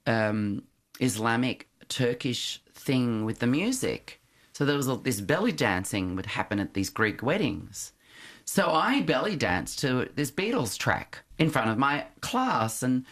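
Hesitant man telling stories from his childhood. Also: mid-range voice. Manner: hesitant, with frequent restarts and soft laughs. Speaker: interview guest. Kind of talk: reflective conversational storytelling.

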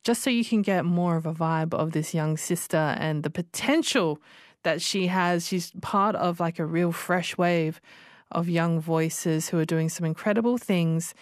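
A woman's voice: lowish voice, a little slow, no echo. A woman hosting a casual radio interview in a studio, encouraging and chatty with many fillers. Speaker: radio show host.

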